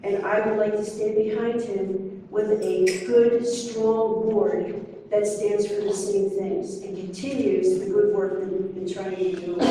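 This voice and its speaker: A woman's voice: medium pitch, background noise. A woman making an earnest, insistent closing statement as a board candidate at a public forum. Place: convention center meeting room. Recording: echoey hall from far off.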